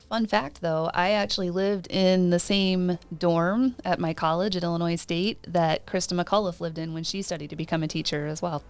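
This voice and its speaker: A woman's voice: on the low side, clean tone, no room sound. A woman in her forties co-hosting a podcast in casual, chatty talk, laughing often between points. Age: 40s. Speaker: podcast co-host.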